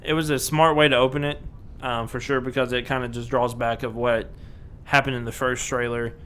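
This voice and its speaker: A man's voice: medium pitch, little pitch movement, fairly quick, a bit bright, a little hiss or hum. A man co-hosting a casual podcast, rambling with lots of fillers and restarts. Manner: rambling, many fillers and restarts, laughs often. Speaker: podcast co-host.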